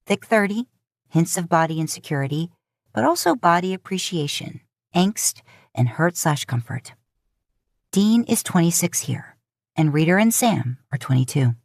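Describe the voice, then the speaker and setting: Low-voiced woman narrating fiction. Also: lively intonation, moderate pace, no room sound, clean studio sound. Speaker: podfic narrator.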